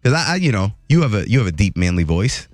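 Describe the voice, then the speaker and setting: A man hosting a morning radio show, telling entertainment news in a jokey, animated way. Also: fast talker, no room sound. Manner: animated, jokey, voice jumps high for emphasis.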